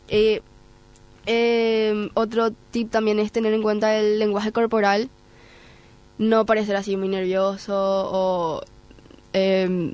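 A woman from Paraguay speaks with her local Spanish accent. Her pitch sits in the middle range, and she offers advice conversationally, as a guest in an interview. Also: darkish tone, clean tone. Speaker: guest, programme coordinator.

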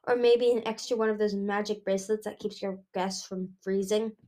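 A woman's voice: mid-range voice, steady tone, moderate pace, dark tone, natural voice. A woman voicing a bubbly, rebellious young female dragon in a storybook, teasing, exclamatory and dramatic. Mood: cheeky.

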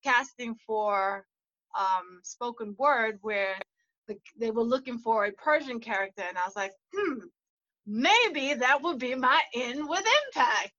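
A woman from South Africa, a guest actor, telling her story in a thoughtful, measured way in casual conversation. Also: fairly high pitch, pushed and bright, lo-fi sound. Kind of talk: casual interview chat.

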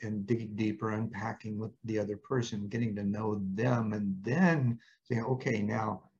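A man hosting an interview in a reflective, casual way, speaking haltingly and often checking in with short tag questions. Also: lowish voice, highs cut off. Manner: halting, often tags phrases with "right?". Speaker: interviewer, host.

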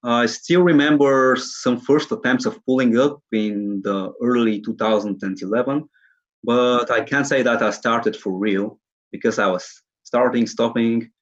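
A man's voice: mid-range voice, fairly even intonation, measured pace, dull audio. A man in his early twenties with a Bulgarian accent, giving casual answers as an interview guest. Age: early 20s. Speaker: interview guest, calisthenics athlete.

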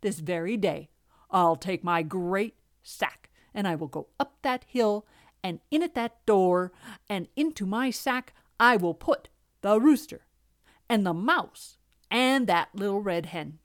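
A woman voicing a big bad father fox, a scheming villain with a gruff, slow, menacing voice. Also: medium pitch, expressive, no echo, clean studio sound.

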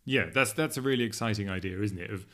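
A man hosting a podcast conversationally, with halting phrasing as he gathers his thoughts. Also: lowish voice, sharp tone. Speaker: podcast host, editor.

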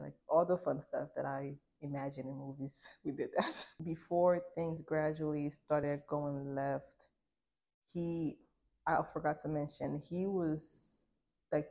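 A young woman in her twenties shares a personal testimony in a low, fairly flat voice. She speaks informally and reflectively, with a candid conversational flow and a creaky edge. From Liberia, later United States. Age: 20s. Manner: informal, reflective, frequent use of "like". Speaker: guest sharing a personal testimony.

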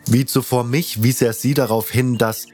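Ein Mann liest als Ich-Erzähler eine Fantasy-Geschichte nach Skript. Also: male voice, mid-range voice, steady tone, normal speed, darkish tone, soft background music. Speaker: first-person narrator and protagonist. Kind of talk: scripted fantasy audiobook narration.

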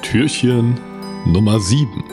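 A man's voice: medium pitch, animated, slow pace, over loud music. A man hosting a casual, improvised audio play, talking in a colloquial style with exclamations. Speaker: podcast host and game leader. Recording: clear vehicle-like background noise.

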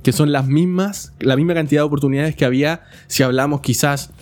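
A man's voice: on the high side, brisk, darkish tone. A man from Chile with a Chilean Spanish accent hosting a casual esports podcast, explanatory and given to joking. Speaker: podcast host.

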